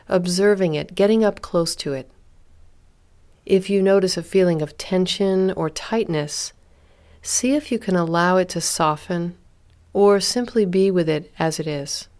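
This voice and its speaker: Female meditation instructor, slightly low voice, calm and gentle, unhurried phrasing with long pauses. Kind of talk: guided body scan meditation.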